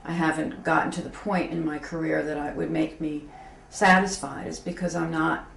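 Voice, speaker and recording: A woman in her mid-fifties speaks in a low, gravelly voice, candid and self-deprecating, pausing often with fillers and restarting her sentences. The recording comes from an echoey room with a faint hum underneath. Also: little pitch movement, fairly bright tone. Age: 50s. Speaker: graphic designer, interviewee. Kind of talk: candid reflective interview talk.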